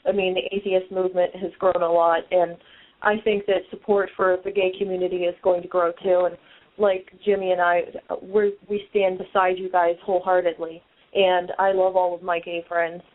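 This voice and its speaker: A woman's voice: lowish voice, level tone, normal speed. A woman co-hosting a podcast, speaking earnestly and supportively in casual chat. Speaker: podcast co-host. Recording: tinny phone-like sound.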